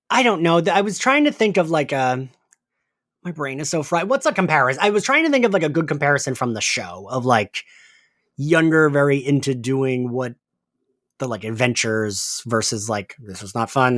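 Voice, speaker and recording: A man recapping an episode as a podcast co-host, with a mid-pitched voice in a dry recording. He talks informally and slips into paraphrased dialogue as he goes. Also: animated, moderate pace, a bit bright, no echo. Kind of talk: casual episode recap chat.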